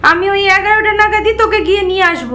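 A woman's voice: extremely high pitch, rapid-fire, bright, forceful tone. A woman voicing a stern, scolding village mother in a cartoon story. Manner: scolding, questioning.

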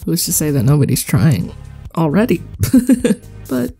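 A woman's voice: deep voice, big pitch swings, measured pace, creaky, dark tone, husky, soft background music. A young woman giving a casual, informal voice-over in a self-aware, chatty way with slangy asides. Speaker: video creator narrating her worldbuilding.

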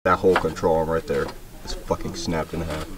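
A man's voice: very deep voice, little pitch movement, a little fast. Wisecracking young man, very noisy outdoor recording. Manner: joking, slangy, profane. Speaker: vlogger behind the camera. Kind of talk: casual running commentary. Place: outdoors at a roadside or dirt lot. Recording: noisy outdoor camera audio with vehicles.

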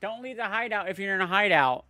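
A man hosting a casual livestream giveaway, chatting with viewers and family in quick bursts. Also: high-pitched, lively intonation, sharp tone, dry recording, faint music behind. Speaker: livestream host running giveaways.

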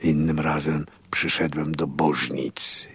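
A fervent, gravelly, very deep priest. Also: male voice, fairly even intonation, measured pace, dry recording, exaggerated voice. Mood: wistful, reproachful. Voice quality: husky, gravelly. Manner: solemn, pleading, hushed at times. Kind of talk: radio drama acting.

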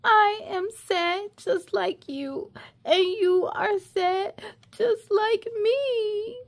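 A woman voicing a little girl with big, changing feelings in a children's storybook, slow and expressive. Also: very high voice, pitched above the performer's own voice, exaggerated voice. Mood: sad, tearful. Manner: slow, exaggerated, expressive delivery. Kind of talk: children's storybook read-aloud, acted out.

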